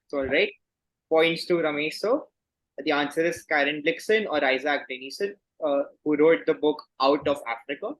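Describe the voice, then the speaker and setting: Man, quiz host, fairly high voice, formal reading, courteous, measured delivery. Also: rough recording.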